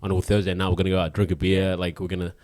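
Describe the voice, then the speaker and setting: Low-voiced aussie guy, dry close sound, keeps saying yeah, kinda rambly. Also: flat delivery, rapid-fire, darkish tone, dry recording. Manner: agreeable, says "yeah" often, rambling. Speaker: conversation partner. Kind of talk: casual chat.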